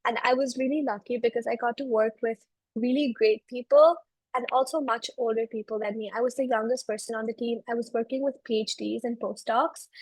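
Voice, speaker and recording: A young woman from Belgium speaks as a guest in an interview. Her voice is on the high side, and she explains things in a conversational way. The sound is very dry, with no room echo. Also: fairly even intonation, a little fast. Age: 20s. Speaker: interview guest, research analyst.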